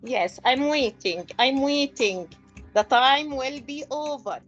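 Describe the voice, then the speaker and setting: A woman's voice: high voice, animated, takes it slowly, a bit bright, dull audio, faint music behind. A woman from Palestine presenting an online teacher-training webinar, patiently greeting participants and repeating instructions.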